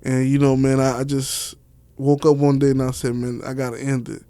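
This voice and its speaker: A man's voice: mid-range voice, monotone, normal speed, dark tone, clear, resonant tone, no room sound. A man telling personal stories casually as an interview guest, slangy and unfiltered, swearing freely. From Miami, Florida. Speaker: interview guest, author and stage play director.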